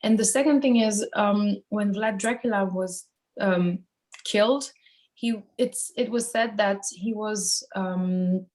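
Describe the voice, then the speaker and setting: A woman's voice: mid-range voice, slow pace, darkish tone. A woman from Switzerland, a band singer, giving casual interview answers in a thoughtful, hesitant way as she searches for phrasing.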